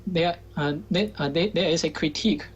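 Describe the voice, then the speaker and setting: A man's voice: on the high side, fairly quick, slightly rough, dull audio. A man from China, a Chinese native speaker, talking hesitantly in a casual call with many fillers and restarts. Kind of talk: casual chat.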